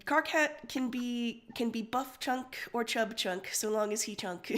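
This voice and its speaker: A woman with a fairly high voice co-hosts a story stream. She is giggly and playful, joking casually as one fan to another. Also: measured pace, a bit bright. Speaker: stream co-host and story reader.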